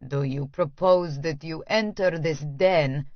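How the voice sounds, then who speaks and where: A woman voicing a terse, dangerous Russian man in an audiobook, with a deepened voice and slow, measured delivery. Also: no room sound, highs cut off. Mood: grave, guarded.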